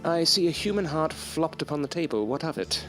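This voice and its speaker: An actor playing an absorbed, aloof, ambitious gentleman scientist in a gothic audio drama, with formal theatrical phrasing. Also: fairly high pitch, animated, a little fast, over music, lightly acted. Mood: intrigued, grandiose.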